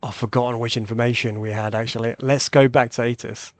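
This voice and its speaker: A man's voice: medium pitch, little pitch movement, fairly quick, slight background noise. A man hosting a flight simulator video, thinking aloud in casual tutorial commentary and reading back radio calls. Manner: thinks aloud while demonstrating.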